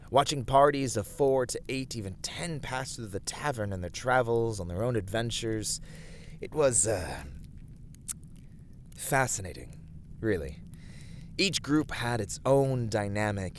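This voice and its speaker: A man's voice: lowish voice, slight background noise. A man playing an earnest, courteous fantasy adventurer in an ASMR roleplay, speaking in formal, thoughtful, slightly hesitant phrases. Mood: fond, reflective.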